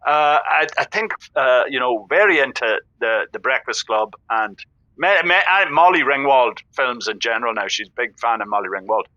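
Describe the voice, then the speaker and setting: An actor playing an awkward, earnest history buff calling a radio show in an audio comedy, hesitant, polite and literal. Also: male voice, mid-range voice, expressive, moderate pace, bright, forceful tone, no room sound, lo-fi sound. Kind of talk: audio comedy acting as caller.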